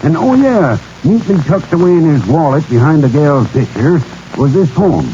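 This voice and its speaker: Small-town sheriff for radio western: easygoing, plain-spoken American, warm mellow voice. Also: male voice, fairly high pitch, sing-song, moderate pace, lo-fi sound. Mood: matter-of-fact. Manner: folksy, matter-of-fact. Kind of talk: 1940s radio western acting. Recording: faintly noisy vintage radio broadcast.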